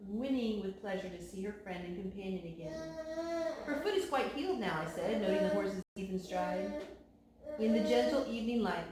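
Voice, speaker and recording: A woman storyteller reads a children's book aloud with plenty of expression. Her voice sits at an average pitch. The room is very echoey, with faint noise underneath.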